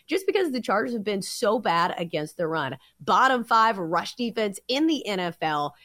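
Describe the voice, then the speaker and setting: A woman with a Southern accent hosting a sports betting podcast, breaking down picks in a steady, analytical way with frequent filler sounds. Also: mid-range voice, no echo, studio quality. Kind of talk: casual sports betting chat.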